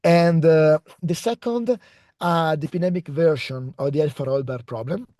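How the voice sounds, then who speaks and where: A young Italian-accented man presents his research over a video call, pausing often and restarting his sentences. His voice sits a little high for a man yet sounds mellow. The recording is dry and close, with almost no room sound. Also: no room sound. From Italy. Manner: hesitant, many fillers and restarts. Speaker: researcher giving a talk.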